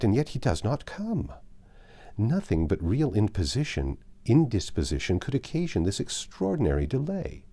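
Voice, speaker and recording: A man's voice: on the low side, warm tone, voice pushed up, heavily acted. A man voicing a passionate, dramatic girl of seventeen from a period novel, earnest and emphatic. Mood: anxious.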